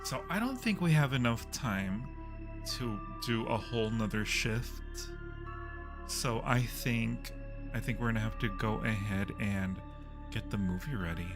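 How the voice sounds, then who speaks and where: A man's voice: fairly low pitch, animated, measured pace. Casual streamer: man, very leisurely talker, playful with his viewers, background music under the voice. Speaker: livestream host. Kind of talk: casual game livestream chat.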